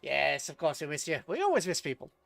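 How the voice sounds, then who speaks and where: A man's voice: a little high, wildly swooping pitch, quick pace, gravelly, a bit bright, dry recording, soft background music. A British man casually commentating a strategy game on a livestream, chatting with viewers and muttering rambling asides to himself as he plays.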